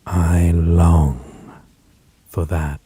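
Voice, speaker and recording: A man playing a possessive, aristocratic vampire in a dramatic audio roleplay, speaking formally and theatrically with lingering pauses and a breathy voice that often drops toward a whisper. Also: low voice, little pitch movement, warm tone, exaggerated voice. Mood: longing, intense. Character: possessive male vampire, menacing, wistful, aristocratic. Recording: faint noise, rain and thunder ambience.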